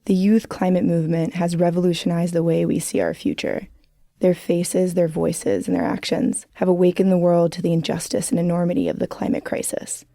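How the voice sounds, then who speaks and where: A woman co-hosting and narrating a documentary series trailer in a measured, earnest scripted read. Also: on the low side, flat delivery, vocal fry, warm tone, soft background music. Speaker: co-host and narrator.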